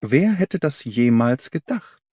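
A man voicing a jovial country gentleman in an audiobook, polite and exclamatory, with cheer that covers suspicion. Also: mid-range voice, sing-song, slow, husky, no room sound, studio quality, heavily acted. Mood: forced cheer.

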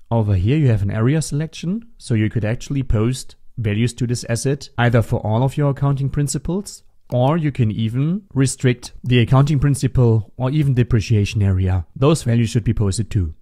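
A man narrating a step-by-step software tutorial as an instructor, in a methodical, instructional manner. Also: medium pitch. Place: voice-over for a screen recording.

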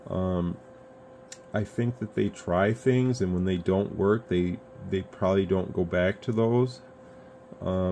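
An American man giving casual, unscripted product commentary as a video host, rambling along conversationally. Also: low voice. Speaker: radio reviewer, video host. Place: indoors at an electronics radio bench. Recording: steady background noise, room ambience.

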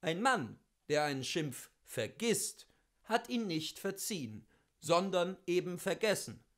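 A man reading classic literature aloud in an expressive, theatrical way, with measured and carefully articulated phrasing. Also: high-pitched.

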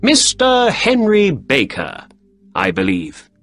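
Sex: male. Figure: a man voicing a clever, brisk detective in a storybook reading. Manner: quick, confident. Pitch: raised, lively. Behind: faint music. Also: exaggerated voice.

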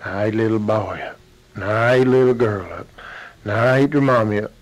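A man's voice: on the low side, fairly quick, voice pushed down, natural voice, poor audio. A man with a Southern Appalachian accent voicing a big, hungry, menacing grizzly bear in a folktale, chanting boastfully and roaring. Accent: Southern Appalachian American. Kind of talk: folktale storytelling.

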